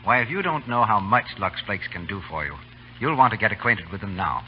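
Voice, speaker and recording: An American man works as an old-time radio announcer, pitching with emphatic, lively intonation. The recording is muffled, with faint hum behind him. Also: brisk.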